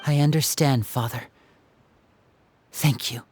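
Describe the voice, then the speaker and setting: Actor as earnest, devoted young heir. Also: male voice, mid-range voice, steady tone, slow pace, slight background noise, natural voice. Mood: resolute. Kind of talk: video game voice acting. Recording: background music under dialogue.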